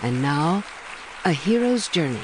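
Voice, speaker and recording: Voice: medium pitch, big pitch swings, measured pace, crowd noise, background noise. An announcer reading a scripted audio theatre introduction in a formal, polished way, over faint background sound.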